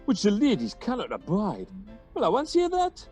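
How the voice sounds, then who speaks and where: A woman plays a vain, bossy weaver who fancies themself a great actor. The delivery is theatrical and self-important, with hugely swooping pitch and a soft, mellow tone. It is Shakespeare done as radio drama. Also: wildly swooping pitch, normal speed, faint music behind. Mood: bossy, confident. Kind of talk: Shakespeare radio play acting.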